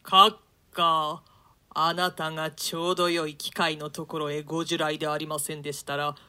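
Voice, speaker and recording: Brief: 口のうまい男の貴族、女性が演じる、低めで明るい声. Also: female voice, deep voice, level tone, moderate pace, sharp tone, pitched below the performer's own voice. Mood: polite. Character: male nobleman, sly ally of the duke.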